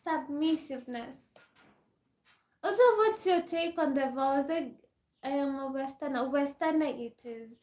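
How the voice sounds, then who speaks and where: A woman's voice: high voice, measured pace, a little mellow. Young woman doing a phone livestream, chatty and laughy, roomy echo. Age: 20s. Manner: chatty, reads and answers viewer comments, laughs often. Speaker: livestream host. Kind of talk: casual livestream chat with viewers. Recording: echoey room.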